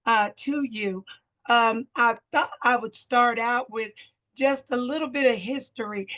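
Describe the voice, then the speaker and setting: An older woman from Missouri, a bit hoarse, giving an earnest talk at a community meeting as a chapter president. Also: a little high, fairly bright tone. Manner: earnest, explanatory, short phrases with fillers. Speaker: guest speaker, local civil rights chapter president.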